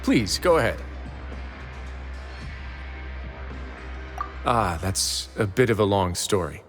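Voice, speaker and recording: An actor playing a composed man who runs a prison fortress and carries a dark past, speaking in measured, formal game dialogue. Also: on the low side, big pitch swings. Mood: calm, reflective. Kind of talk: dramatic video game dialogue. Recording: long reverb, faint music behind. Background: background music.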